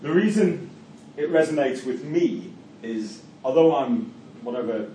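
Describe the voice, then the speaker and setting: A man's voice: mid-range voice, expressive, unhurried, dark tone. A middle-aged man with a Geordie accent from Newcastle gives a university lecture and leads a class discussion, restarting phrases and using fillers often. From Newcastle, England. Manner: hesitant, repeats words, many fillers. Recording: echoey room from far off, faint noise.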